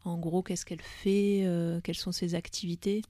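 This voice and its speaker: Mellow-voiced woman hosting a radio chat. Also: fairly low pitch, normal speed, no room sound, clean studio sound. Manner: relaxed, brief backchannels, chatty. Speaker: radio host. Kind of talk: casual radio interview.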